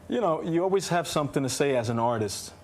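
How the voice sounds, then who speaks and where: A man's voice: a little high, animated, quick pace, a little mellow. A man from the Bronx whose first language is Spanish, speaking earnestly as a TV guest with small hesitations. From the Bronx, New York. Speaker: guest singer. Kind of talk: casual chat. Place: TV studio.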